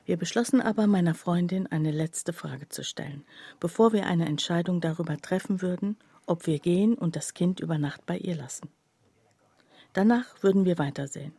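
Confiding woman narrator in a radio drama, mellow breathy lowish voice, measured, loyal friend type. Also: dry recording. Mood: reflective. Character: reflective woman narrator, loyal, envious friend of accused mother. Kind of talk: first-person radio play narration.

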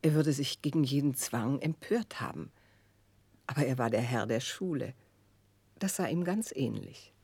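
A woman's voice: a bass voice, lively intonation, normal speed, darkish tone, breathy edge, no echo, heavily acted. An actress playing a proud, middle-aged mother who dotes on her son, speaking in a stately, formal radio-drama manner. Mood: proud, boastful. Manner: stately, formal, self-assured. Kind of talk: 1960s German radio drama acting.

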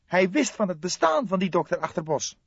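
An excitable young police detective in a crime audiobook. The voice is pushed high and loud, impulsive and full of suspicion, in a close, dry, slightly dull recording. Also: male voice, fairly quick, vocal fry, breathy edge, no room sound, full character voice. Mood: agitated, suspicious. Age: young adult. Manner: impulsive, exclamatory, questioning. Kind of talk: audiobook character dialogue.

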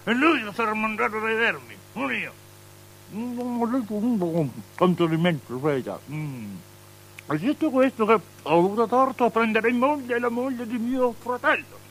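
A capricious, lustful tetrarch in a radio play. The voice sits high and lurches from declamatory outbursts to breathy murmurs. A faint hum and noise sit on the old recording. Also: male voice, expressive, normal speed, fairly bright tone. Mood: agitated boasting. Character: capricious, lustful, superstitious male tetrarch, unstable and self-pitying. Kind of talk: avant-garde theatrical radio drama acting. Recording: noisy, humming old radio drama recording.

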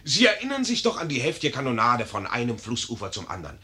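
An actor in a 1950s radio play as a boastful, dim-witted young cavalry lieutenant with a pompous manner. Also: male voice, mid-range voice, wildly swooping pitch, fast talker, bright, forceful tone. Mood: smugly boastful. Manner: pompous, self-important.

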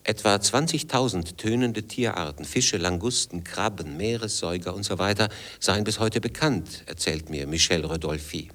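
A man with a low-pitched voice narrates a radio feature. He reads from a script as the reporter guiding the listener through the piece. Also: normal speed, few pauses, a bit bright.

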